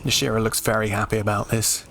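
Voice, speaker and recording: Croaky British man, casual gaming commentary. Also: fairly low pitch, little pitch movement, fast, fairly bright tone. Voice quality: slightly croaky. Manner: laid-back, explanatory, informal. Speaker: let's play host.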